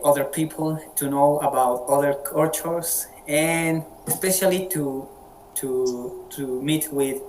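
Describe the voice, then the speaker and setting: Colombian man moderating, halting and repetitive. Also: a little high, a little mellow, a little hiss or hum, poor audio. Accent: Colombian Spanish. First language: Spanish. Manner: friendly, halting with frequent word repeats. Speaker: meeting moderator. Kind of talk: casual online group chat. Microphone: video call.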